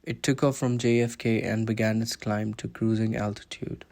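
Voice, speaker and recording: A man's voice: on the low side, level tone, normal speed, vocal fry, darkish tone. A man narrating a scripted documentary, explaining events step by step.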